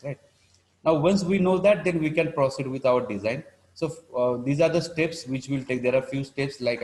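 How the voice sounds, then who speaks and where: Indian guy teaching engineering online, mid pitch, kinda halting, repeats himself and checks in a lot. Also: a little mellow, low-quality recording. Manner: halting, repetitive, punctuated with check-in words like "right" and "you know". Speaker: engineering lecturer. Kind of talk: live online lecture, explaining calculations.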